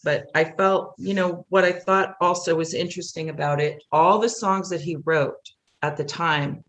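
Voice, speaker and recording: A woman's voice: fairly low pitch, steady tone, normal speed. A middle-aged American woman talking candidly and animatedly as a guest in a casual interview. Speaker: guest, playwright. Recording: roomy echo.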